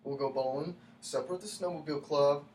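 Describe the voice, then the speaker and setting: A man reading the part of a sheepish, defensive young man in a staged play, halting and repeating himself. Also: fairly high pitch, a little mellow, lightly acted. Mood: insistent. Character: Chad, hapless, sheepish young man defending his awful date. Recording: echoey room from far off, faint noise.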